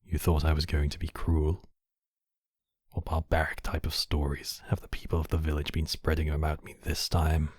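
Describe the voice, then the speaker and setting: Casting: male actor as demon king, extremely low husky register, possessive yet compassionate, regal formal delivery for ASMR roleplay. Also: completely flat delivery, a little mellow, no room sound, studio quality. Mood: gentle, courteous. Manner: slow, regal, formal phrasing. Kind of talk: intimate ASMR roleplay acting.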